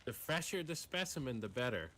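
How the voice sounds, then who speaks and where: A man roleplaying an amoral mad doctor in a Western game, explaining his schemes calmly and haltingly. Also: medium pitch, a little hiss or hum. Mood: matter-of-fact. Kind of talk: improvised online Western roleplay.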